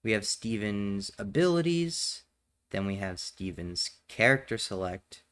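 A man narrating a step-by-step software tutorial in a matter-of-fact, instructional way. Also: on the low side, very animated, unhurried, smooth. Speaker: tutorial presenter.

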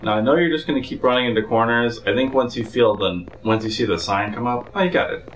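A man co-hosting a gaming show, reacting loudly, laughing and teasing his partner as they play. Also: mid-range voice, fast talker, slight background noise. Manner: excitable, swearing, laughing, teasing. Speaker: co-host playing along. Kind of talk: casual let's-play commentary. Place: indoor room, seated on a couch. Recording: roomy echo with loud game music.

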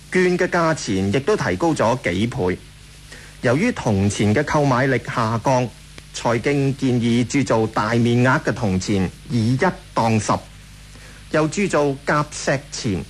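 A man's voice: mid-range voice, a little hiss or hum. A man with a Hong Kong Cantonese accent giving scripted historical narration.

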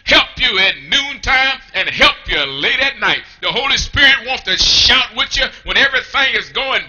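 A middle-aged man preaching a fiery revival sermon in a small church, shouting in rhythmic, repeating calls. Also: extremely high pitch, lively intonation, normal speed, muffled recording, soft background music.

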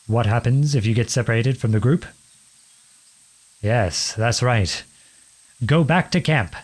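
A man acting a charming, teasing young outlawed prince in an ASMR-style romance roleplay, with a husky voice and a courtly, playful manner. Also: medium pitch, unhurried, natural voice. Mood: brisk, commanding. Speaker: audio roleplay performer.

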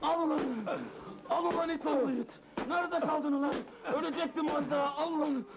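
An actor playing a hot-tempered, self-pitying nightclub boss, ranting and groaning melodramatically in a comedy film. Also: male voice, high voice, fairly quick, vocal fry, music in the background, poor audio. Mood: furious. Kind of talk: Turkish comedy film acting.

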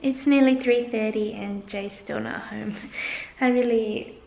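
A young woman vlogging casually at home, tired and croaky-voiced, rambling and laughing often as she chats to her camera. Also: a little high, steady tone, measured pace, darkish tone, a little hiss or hum. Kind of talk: casual daily vlog. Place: home kitchen. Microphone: handheld camera at arm's length. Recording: echoey kitchen with faint ambience.

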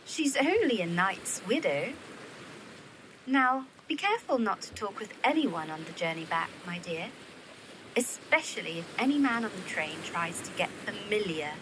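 A woman's voice: mid-range voice, background noise. A woman playing a young, worldly, protective Victorian widow and elder sister in an audio drama. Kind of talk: period audio drama acting.

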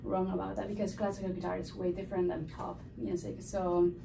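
A woman's voice: on the low side, flat delivery, brisk, gravelly. A young woman from Cuba, a classical guitarist, answering interview questions eagerly with many fillers. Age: young adult. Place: small room with a framed map on the wall. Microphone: stand mic, filmed by a far camera. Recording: roomy, distant, noisy.

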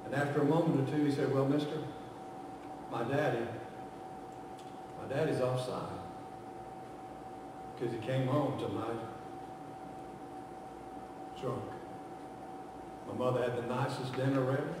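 An older man with a Southern American accent preaching a storytelling sermon from a church pulpit, moving between loud passages and hushed ones. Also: medium pitch, steady tone, unhurried, pauses often, noisy background. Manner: dramatic, voices quoted characters, swings from loud to hushed. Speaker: guest preacher. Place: church sanctuary, medium-sized, indoors. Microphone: far camera, pulpit mic. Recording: echoey church from far off.

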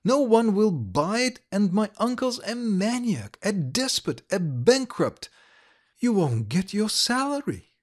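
A man's voice: high voice, sing-song, takes it slowly, voice pushed up, heavily acted. A man reading aloud, voicing an agitated young noblewoman who pleads urgently with a guest. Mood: incredulous.

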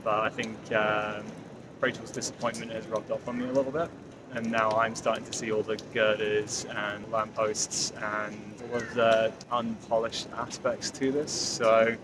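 A man's voice: on the low side, flat delivery, a little slow. Man vlogging outdoors, reflective. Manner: reflective, hedging thoughts aloud. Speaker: travel vlogger. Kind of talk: casual travel vlog talk to camera. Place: outdoors at a cave temple site. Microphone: handheld camera selfie framing. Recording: outdoor noise behind.

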